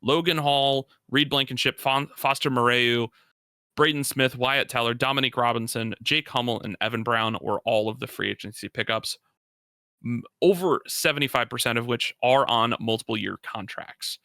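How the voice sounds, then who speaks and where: Male sports co-host, very bright tense edge, emphatic and opinionated, dry close sound. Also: medium pitch, normal speed, no room sound. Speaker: podcast co-host. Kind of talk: casual sports talk, analysis.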